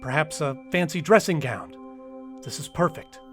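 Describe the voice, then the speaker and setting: A man acts out a nervy, penniless poet narrating a radio-play diary. His voice is on the high side, theatrical and deliberate, halting on repeated words. He sounds secretive and self-satisfied, and music plays clearly beneath him. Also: close to an everyday voice. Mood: pleased, secretive. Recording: music under the voice.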